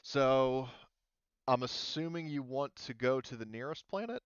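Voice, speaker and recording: A man's voice: mid-range voice, lively intonation, unhurried, smooth, no room sound, muffled recording. A man refereeing a casual tabletop game as game master, hesitant and thinking aloud as he talks.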